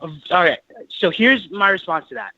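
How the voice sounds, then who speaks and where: A man in his thirties phones in to a sports talk show, his higher voice earnest and full of restarts. The call comes through dull and dry, like a phone line. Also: animated, normal speed, no echo. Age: 30s. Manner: earnest, many restarts and fillers. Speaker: caller.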